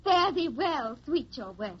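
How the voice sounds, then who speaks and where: Earnest medieval maiden, high-pitched, flowery archaic speech, dull vintage radio recording. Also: female voice, big pitch swings, moderate pace, a bit bright, a little hiss or hum. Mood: sweet, dutiful. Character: sweet, earnest young medieval damsel in distress. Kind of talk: 1940s radio acting.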